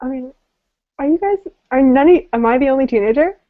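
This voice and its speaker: An eighteen-year-old woman talks books in a halting way, often restarting as she looks for words. Her voice is high. The sound is muffled and lo-fi. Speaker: book club guest. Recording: dull lo-fi webcam audio.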